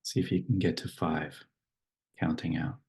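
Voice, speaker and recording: A man leading a guided meditation and reading verse, speaking slowly and softly with long pauses. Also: low-pitched, level tone, slightly rough. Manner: slow, unhurried, soft instruction with long pauses. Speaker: meditation teacher.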